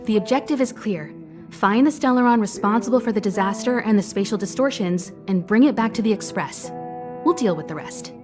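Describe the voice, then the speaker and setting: A woman's voice: mid-range voice, brisk, over music, close to an everyday voice. A woman playing a composed, caring crew leader in a video game, speaking articulately and reassuringly. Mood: calm, guiding. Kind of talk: game cutscene exposition.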